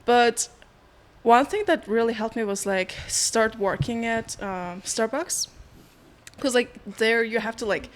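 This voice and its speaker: A woman's voice: medium pitch, slight background noise. A young woman from Mongolia, chatting casually on a podcast with lots of fillers. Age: young adult. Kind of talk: casual chat.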